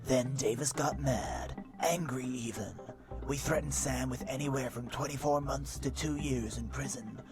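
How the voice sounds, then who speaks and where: A man voicing a self-important, dim hardboiled private eye, narrating a deadpan film noir parody in a melodramatic way. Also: medium pitch, moderate pace, gravelly, lightly acted. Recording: music behind the voice. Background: background music.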